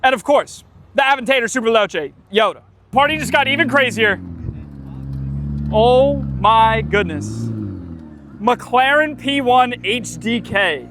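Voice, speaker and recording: A man's voice: very high-pitched, very animated, a little slow, pauses often, background noise. A man vlogging at a car week, enthusiastic and exclaiming over the cars he spots. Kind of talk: excited car-spotting vlog.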